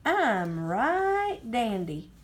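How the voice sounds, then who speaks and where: A woman's voice: fairly high pitch, sing-song, exaggerated voice. Woman as Granny Bet, lilting jolly old grandma. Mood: jolly, delighted. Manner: folksy, giggly, unhurried.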